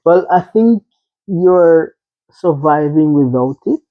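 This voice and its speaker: A man in a casual personal discussion, speaking in a gentle, encouraging way through tinny, band-limited sound. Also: fairly high pitch, animated, takes it slowly, no room sound.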